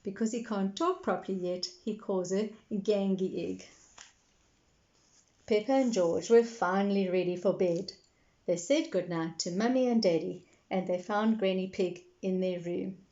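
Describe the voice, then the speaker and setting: Slightly muffled recording, woman storyteller, very slow pace, expressive children's read-aloud, talks directly to young listeners. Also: mid-range voice. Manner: addresses young listeners with tag questions.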